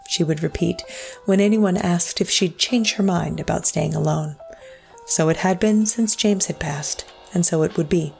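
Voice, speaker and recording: An actress playing a solemn storyteller, narrating a scripted dramatic tale in a measured, literary way. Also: female voice, on the low side, creaky, darkish tone. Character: solemn female storyteller of a sad ghost tale.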